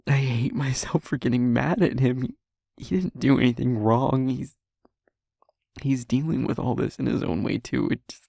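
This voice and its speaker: A man acting an angry, guilt-ridden young man haltingly confiding in a friend in an intimate audio drama. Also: medium pitch, vocal fry, warm tone, no echo, studio quality. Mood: angry, self-loathing. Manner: halting, emotional confession with pauses.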